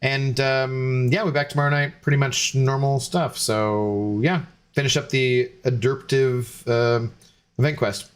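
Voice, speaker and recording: A man's voice: medium pitch, fairly even intonation, measured pace, clear, resonant tone. Tipsy rambling male streamer. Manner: rambling and tipsy, many fillers and restarts. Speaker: game streamer. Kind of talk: casual stream chat to viewers.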